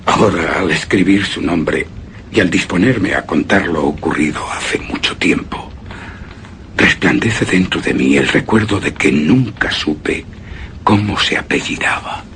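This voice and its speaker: A man playing a brooding, grief-haunted widower in a horror radio drama, speaking slowly and haltingly with breathy whispered words. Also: low voice, fairly bright tone, slight background noise, heavily acted. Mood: melancholy reminiscing. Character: brooding, grief-haunted widower recalling his lost beloved wife. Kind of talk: suspense and horror radio theatre acting, first-person narration.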